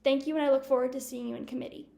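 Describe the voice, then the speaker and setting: A teenage girl delivers an encouraging welcome speech to delegates. Her voice sits high and sounds upbeat and eager throughout. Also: steady tone, rapid-fire, gravelly, warm tone, a little hiss or hum. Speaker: student conference leader giving a welcome address. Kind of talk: prepared ceremonial speech.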